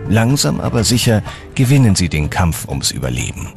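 A man's voice: lowish voice, sing-song, normal speed, vocal fry. A man reading scripted documentary narration with dramatic storytelling delivery. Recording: music behind the voice. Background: background music.

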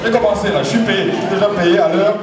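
A man's voice: high-pitched, steady tone, quick pace, very noisy. A young man, a Kinyarwanda speaker, emceeing a stage show and joking with the audience, mixing in English words. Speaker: master of ceremonies. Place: large hall on stage before a crowd. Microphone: handheld mic heard from far. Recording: echoey hall recorded from far off with loud crowd and music.

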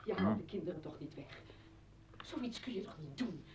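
A woman's voice: lowish voice, expressive, moderate pace, creaky, background noise. An actress playing an anguished, accusing mother confronting her former husband in a radio play, bitter and pleading. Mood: frightened, pleading. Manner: bitter, pleading, breaking into whispers. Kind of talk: Dutch radio play acting. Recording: dull old radio recording.

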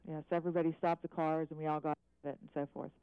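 Halting eyewitness on a dry phone line. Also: medium pitch, level tone, clean tone, no room sound. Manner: hesitant, halting, careful answers. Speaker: eyewitness caller. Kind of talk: live news interview, unscripted answers.

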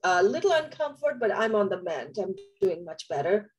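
A woman guest speaks over a webcam on a video call while still getting over a nasty cough. She lays out her points in a deliberate, explanatory way at a middling pitch. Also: very animated, lo-fi sound, faint music behind. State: recovering from a cough, under the weather. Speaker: guest, tech community co-founder.

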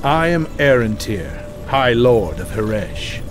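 An actor playing a cold, dignified necromancer high lord in a fantasy game, speaking formally and with command. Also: male voice, big pitch swings, slow pace. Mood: dignified, composed. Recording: music behind the voice. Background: game music.

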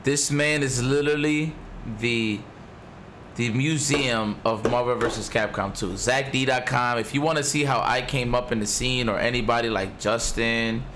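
A man in his thirties with a raspy voice, hosting a stream and commenting excitedly over old fighting game footage. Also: mid-range voice, moderate pace, noisy background. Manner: excited, swears a lot, repeats himself. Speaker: stream host.

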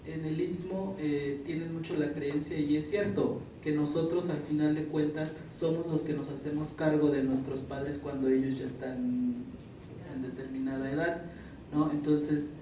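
A man's voice: a little high, level tone, a little slow, warm tone. A man speaking earnestly from a panel table. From Juchitán, Isthmus of Tehuantepec, Mexico. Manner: earnest, explanatory, repeats key words. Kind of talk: testimony at a public panel. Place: conference room. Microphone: table microphone, recorded by far camera. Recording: echoey room from far off, noisy.

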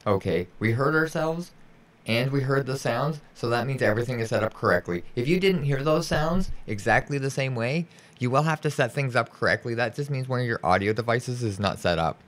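A man giving an informal, reassuring step-by-step software tutorial as a voice-over. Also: medium pitch, expressive, a little fast. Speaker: tutorial host. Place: indoors, voice-over for a screen recording.

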